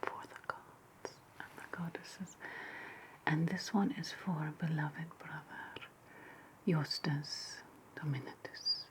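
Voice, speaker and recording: A woman playing a wry, spiteful ancient Roman witch in a whispered ASMR roleplay, breathy and sweetly sarcastic. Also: deep voice, steady tone. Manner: slow, deliberate, sweetly sarcastic.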